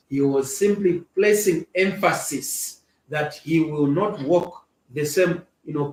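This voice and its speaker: A man giving a press statement to the media, speaking deliberately and with emphasis. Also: on the high side. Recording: roomy, distant mic with faint noise.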